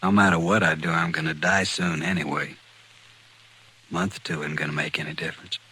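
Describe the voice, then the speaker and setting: An actor playing a courteous, resigned newcomer to the Old West, quietly resolute and doomed, in a vintage radio western. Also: male voice, low voice, flat delivery, a little fast, slightly rough, full character voice. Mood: grim resolve. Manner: polite, resigned, soft-spoken understatement. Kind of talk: 1950s radio western acting. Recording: vintage radio drama recording.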